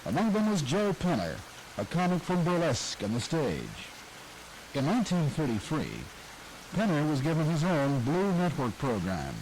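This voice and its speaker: A man giving scripted narration about old radio comedians, smooth and informative, over faint cassette hiss. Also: on the high side, huge pitch swings, moderate pace, a little mellow, poor audio.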